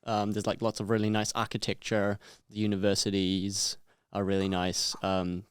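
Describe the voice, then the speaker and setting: A man's voice: on the low side, completely flat delivery, normal speed, clear, resonant tone, dry recording, clean studio sound. Easygoing young New man. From New Zealand. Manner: easygoing, hesitant, lots of fillers. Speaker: podcast guest. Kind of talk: casual chat.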